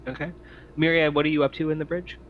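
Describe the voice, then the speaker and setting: A man's voice: on the high side, little pitch movement, quick pace. A man running an online roleplaying game, explaining scenes politely as he improvises the narration. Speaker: game master. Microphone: online voice chat mic. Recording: dull lo-fi mic with faint noise.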